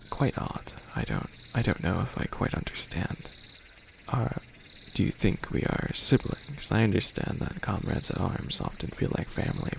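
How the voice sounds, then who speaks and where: A man playing a centuries-old skeleton demon lord in an ASMR roleplay, soft-spoken and partly whispering, hesitant and curious, with old-fashioned formal phrasing. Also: low voice, creaky, background noise, full character voice. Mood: playful, puzzled.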